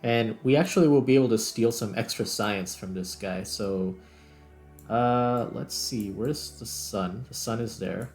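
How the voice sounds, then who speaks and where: A man's voice: on the low side, unhurried, a little mellow, soft background music. A man giving casual, unscripted gameplay commentary, muttering step by step as he thinks aloud. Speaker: host playing and narrating a video game.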